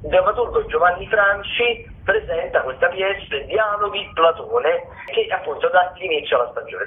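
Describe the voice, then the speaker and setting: Guy hosting radio theatre listings, voice a bit high, halting, tinny narrow phone sound. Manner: halting, hesitant delivery with frequent self-corrections. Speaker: radio host. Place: radio broadcast. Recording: muffled narrowband telephone-like radio audio.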